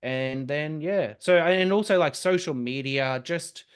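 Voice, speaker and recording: A young man, a podcast guest chatting casually and telling lively stories. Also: fairly high pitch, clean tone. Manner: lively, quick storytelling with laughs and restarts. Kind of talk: casual conversation.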